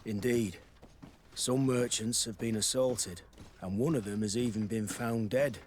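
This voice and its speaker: A man's voice: lowish voice, measured pace, a little mellow, slight background noise, close to an everyday voice. An actor playing a guarded, serious townsman in a video game cutscene. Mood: formal, grave.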